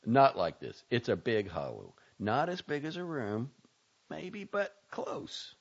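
A man voicing a blunt, exasperated young man who lectures his friend loudly and impatiently. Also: mid-range voice, huge pitch swings, slow pace, creaky, husky, lo-fi sound, pitched above the performer's own voice, exaggerated voice. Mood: wry. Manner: loud, sharp and impatient.

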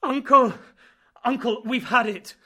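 A man voicing a terrified young man who shouts frantically. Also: mid-range voice, animated, quick pace. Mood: frantic. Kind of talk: audiobook character reading.

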